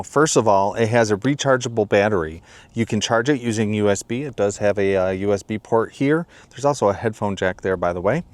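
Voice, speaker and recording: A man with a fairly low voice presents a casual review as a video host. He speaks in a plainspoken, explanatory way, like someone walking a viewer through gear he uses. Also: no echo. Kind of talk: casual product review to camera.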